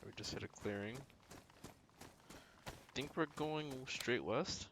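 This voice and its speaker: A man's voice: mid-range voice, takes it slowly, background noise. A man streaming a video game, thinking aloud in a casual, laid-back, slangy way.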